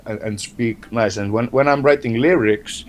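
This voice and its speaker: Icelandic man as interview guest, gentle and hesitant, keeps restarting words, mid-pitched voice, faint noise behind. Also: moderate pace. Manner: hesitant, repeats words often, soft-spoken. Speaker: band member, interview guest. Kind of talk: casual interview talk.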